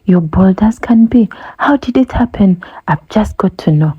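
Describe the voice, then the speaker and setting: A woman's voice: mid-range voice, animated, normal speed, slightly rough, no room sound, muffled recording. A woman voicing a nosy old female hornbill, a gossip who pries and exclaims, in a children's storybook. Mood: nosy surprise. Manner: prying, exclamatory.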